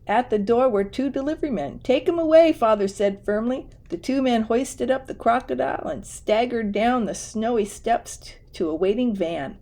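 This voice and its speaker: A woman's voice: fairly high pitch, moderate pace, hardly any pauses, dark tone. Woman reading a storybook aloud. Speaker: storybook reader. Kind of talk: expressive picture-book read-aloud with casual asides.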